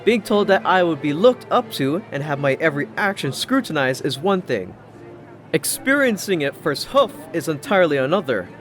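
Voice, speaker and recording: Animated, higher-pitched male story narrator. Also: normal speed. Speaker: audiobook narrator. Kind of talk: first-person scripted story narration. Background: background music.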